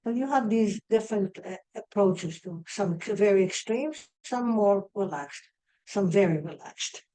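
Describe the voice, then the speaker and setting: An older woman with an Israeli accent lectures in a mellow, warm voice. She works through her ideas step by step and often restarts a phrase before finishing it. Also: medium pitch, animated, measured pace, poor audio. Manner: explaining step by step, with frequent restarts. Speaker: astrology lecturer.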